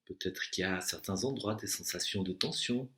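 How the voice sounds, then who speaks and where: A man with a fairly low voice leads a guided relaxation as a meditation teacher. He gives his instructions slowly and deliberately, leaving long pauses between phrases. The recording has a clearly echoey room sound. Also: bright, forceful tone. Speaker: yoga nidra and meditation teacher.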